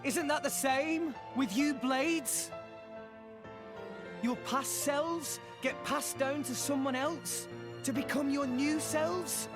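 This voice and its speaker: A man's voice: very high-pitched, slow pace, over music. An actor playing an earnest, idealistic teenage boy hero in a video game cutscene. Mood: impassioned, insistent.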